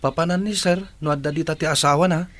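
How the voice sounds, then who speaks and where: An actor playing a dutiful, respectful young working student in a radio drama, with an Ilocano accent. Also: male voice, fairly high pitch, steady tone, fast, fairly bright tone, lightly acted. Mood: curious, worried.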